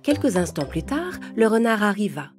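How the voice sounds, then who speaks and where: A woman's voice: mid-range voice, sing-song, normal speed, music in the background. A woman narrating a children's story in a scripted, carefully phrased storytelling style.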